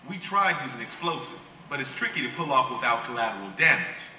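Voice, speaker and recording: A very bright, forceful male soldier talks briskly and confidently in a sci-fi video game. His friendly voice rings from a distance in an echoey hall with faint noise. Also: medium pitch, expressive. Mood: matter-of-fact. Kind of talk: video game cutscene acting.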